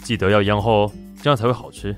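An actor voices a seductive, mischievous pastry chef with a low-pitched voice. He speaks Taiwanese Mandarin in a smooth, teasing, doting way, as in a romantic radio play. Soft music plays behind him. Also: steady tone, very fast, lightly acted. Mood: calm instructing. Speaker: voice actor in audio drama. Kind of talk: Mandarin BL audio drama acting.

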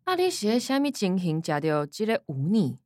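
A woman's voice: mid-range voice, big pitch swings, normal speed, darkish tone, dry recording, studio quality, lightly acted. A woman playing a blunt, shrewd grown daughter in a radio drama, curt and questioning with a Taiwanese accent. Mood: probing.

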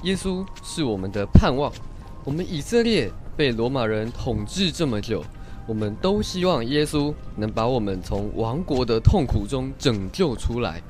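An actor playing an anxious, doubting older village man in a radio drama, with expressive sighs and exclamations, as in a biblical tale. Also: mid-range voice, moderate pace, soft background music, lightly acted. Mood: earnest, sorrowful.

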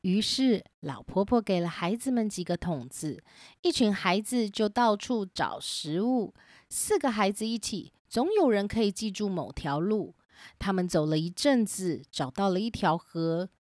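A woman narrating a children's story as host in a gentle, clear storytelling manner, recorded with simple home equipment. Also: mid-range voice, lively intonation, measured pace, no room sound, clean studio sound. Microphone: simple home recording setup.

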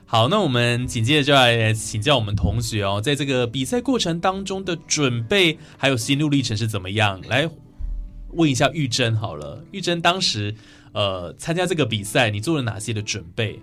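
A man's voice: mid-range voice, expressive, normal speed, a bit bright, soft background music. A man with a Taiwanese Mandarin accent hosting a radio interview. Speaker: radio host.

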